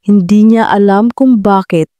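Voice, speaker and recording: A woman narrates a romantic audio drama in a mellow voice. The sound is dry, with very little room around it, and the delivery is scripted and dramatic. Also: mid-range voice, a little slow, dry recording. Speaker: narrator of audio love story.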